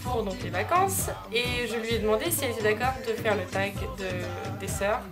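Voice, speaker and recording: A woman's voice: medium pitch, expressive, moderate pace, loud music behind the voice. A woman in her early twenties chatting casually to camera in a rambling, giggly way, recorded at home on an amateur camera. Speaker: vlogger, elder sister. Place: room at home. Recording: noisy amateur digital camera sound.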